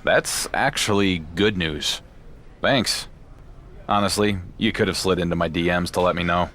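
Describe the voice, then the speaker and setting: An actor playing a proud, sarcastic wrestling champion with a dry manner in a video game cutscene. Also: male voice, low voice, normal speed, fairly bright tone, a little hiss or hum. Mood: amused, wry. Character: wry, proud male pro wrestling champion.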